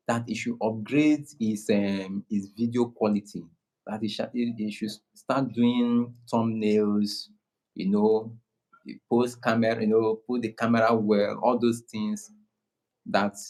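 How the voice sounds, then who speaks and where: A man from Nigeria with a Nigerian accent, speaking slowly and calmly in casual conversation, often restarting his sentences. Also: lowish voice, poor audio. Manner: slow, unhurried, many restarts. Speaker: guest creator.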